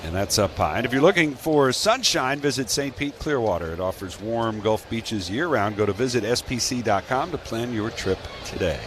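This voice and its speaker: A man's voice: medium pitch, expressive, moderate pace, few pauses. A man with an American accent calls baseball live on the radio, mixing play-by-play with casual banter. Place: stadium broadcast booth open to the field. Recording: clear outdoor ballpark ambience noise.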